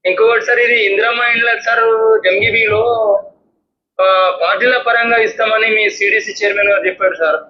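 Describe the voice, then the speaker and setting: A man's voice: high voice, steady tone, brisk. A man with a Telangana Telugu accent phoning in a local complaint, deferential and often saying sir. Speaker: phone-in caller with a local grievance. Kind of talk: deferential petitioning by phone. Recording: dull phone call, echo, noise.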